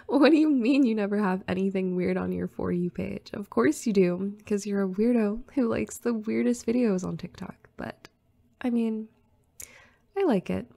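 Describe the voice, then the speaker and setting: Creaky-voiced, playful girl next door, acted by a woman. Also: medium pitch, unhurried. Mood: teasing, amused. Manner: casual, giggly, soft-spoken. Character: playful, teasing young woman next door, growing sleepy. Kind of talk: intimate roleplay sleep-aid audio.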